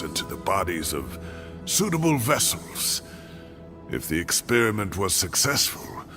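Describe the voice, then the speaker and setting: Guilt-laden old mentor, game character, unhurried delivery, grave, music behind the voice. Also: male voice, sits low. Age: older. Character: weary secretive old male scholar, guilt-laden mentor. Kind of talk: dramatic video game voice acting.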